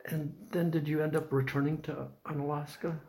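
Man with a gravelly voice asking questions. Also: fairly high pitch, little pitch movement, dark tone, a little hiss or hum, rough recording. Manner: measured, polite questions with hesitations. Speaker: oral history interviewer. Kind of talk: oral history interview. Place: old building, a former dormitory.

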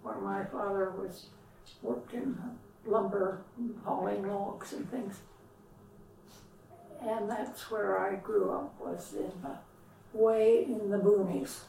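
A woman in her nineties, telling her life story slowly in an oral history interview at home, with pauses and repeats. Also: mid-range voice, expressive, gravelly, mellow, a little hiss or hum. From US West Coast. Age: 90s. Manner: slow, reflective, with pauses and repeats. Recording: roomy, from far off.

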